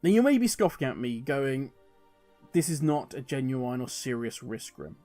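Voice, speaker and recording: A British man giving conversational opinion commentary to viewers as a gaming host, explaining in a measured way with deliberate pauses. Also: mid-range voice, animated, mellow, faint music behind. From United Kingdom. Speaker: gaming video creator and host.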